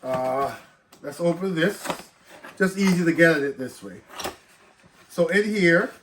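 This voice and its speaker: A man's voice: on the high side, takes it slowly, fairly bright tone, slight background noise, rough recording. A man with a slight cold and a stuffed-up voice, casually walking through an unboxing and reading product specs aloud before explaining them. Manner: reads specs off the box, then explains in loose asides. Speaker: tech reviewer.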